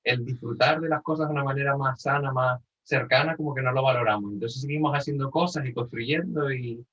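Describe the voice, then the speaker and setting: A man talks casually and wryly, rambling through his opinions. His voice is mid-pitched, sharp and bright, with a husky rasp. The recording is slightly muffled. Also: fairly quick. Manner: informal, wry, rambling. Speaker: participant in a group discussion.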